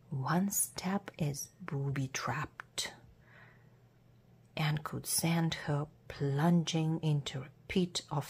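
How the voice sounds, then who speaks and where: A woman reading a children's adventure storybook aloud as a narrator, in a measured, deliberate way with hushed word endings. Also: lowish voice, breathy edge. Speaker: storybook reader.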